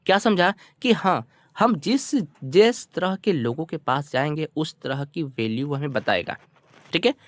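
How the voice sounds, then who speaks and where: An Indian man with a somewhat high-pitched voice works as a language teacher, going through a story in two languages. He explains phrase by phrase, repeats himself and keeps checking with short questions. The sound is dry, with very little room echo. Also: animated, a little slow. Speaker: English teacher. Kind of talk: bilingual storytelling lesson, translating line by line.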